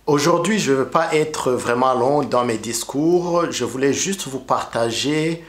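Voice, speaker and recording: A middle-aged Rwandan preacher speaks slowly and earnestly, his pitch sitting at an ordinary middle level for a man. He pauses often and restarts his sentences, and his Kinyarwanda accent colors every word. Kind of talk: sermon and testimony with prayer.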